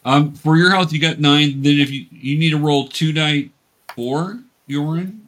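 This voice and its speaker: A man hosting a tabletop game as game master, explaining things patiently and casually in a halting way. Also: a little high, steady tone, fairly bright tone. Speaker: game master and show host. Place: remote video call.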